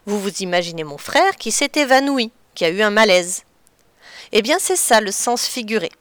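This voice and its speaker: A woman addresses children as their teacher, patient and deliberate as she explains. Her voice is smooth and clear. The sound is dry and close. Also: medium pitch, expressive, a bit bright, dry recording. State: slightly stuffy from a cold. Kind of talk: children's lesson on figurative expressions.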